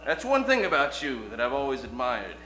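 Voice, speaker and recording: A man's voice: mid-range voice, wildly swooping pitch, a little fast, noisy background. A man playing a wry, earnest, slightly dense private detective in a comic radio play. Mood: wry fondness. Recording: echoey hall with music behind.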